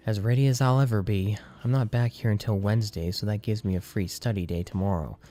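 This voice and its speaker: Man playing an exasperated young student, pitched higher. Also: steady tone, fast, mellow, faint music behind, lightly acted. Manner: protesting, sarcastic.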